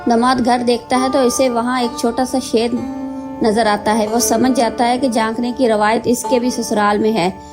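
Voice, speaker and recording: Woman storyteller with a highish voice. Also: level tone, moderate pace. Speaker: narrator. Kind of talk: scripted cartoon storytelling in Hindi. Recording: music bed behind voice.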